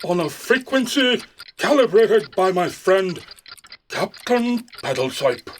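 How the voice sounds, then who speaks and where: A man playing an eccentric, precise master clockmaker, theatrical and deliberate, in a science-fiction audio drama. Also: high voice, very animated, a little hiss or hum, full character voice. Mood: pleased.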